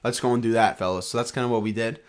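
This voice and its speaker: A man's voice: medium pitch, steady tone, rapid-fire. A young man co-hosting a podcast, chatting loosely about sports and travel in a rambling, joking way. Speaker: podcast co-host. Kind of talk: casual sports chat.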